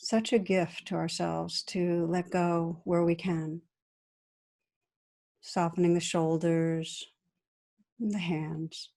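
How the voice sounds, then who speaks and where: A woman meditation teacher guiding a practice in a gentle, soothing, unhurried manner with long pauses between phrases. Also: fairly low pitch, level tone, vocal fry. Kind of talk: guided meditation.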